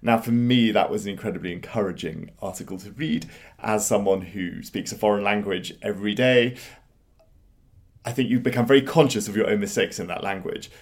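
A man with a fairly low voice co-hosts a relaxed news podcast. He talks in a halting way, with lots of fillers and restarts. It sounds like easy conversation, not a reading. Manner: hesitant, many fillers and restarts. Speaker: podcast co-host. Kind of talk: casual roundtable chat.

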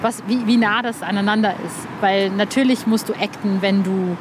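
A woman's voice: medium pitch, steady tone, normal speed. A woman interviewing casually, searching for words as she talks. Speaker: podcast interviewer. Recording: clear urban background noise.

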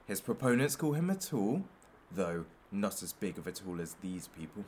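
An actor playing a menacing, sardonic talk show host in a satirical audio drama, with a theatrical, taunting manner. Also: male voice, sits low, very animated, moderate pace, darkish tone, slight background noise. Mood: grand showmanship.

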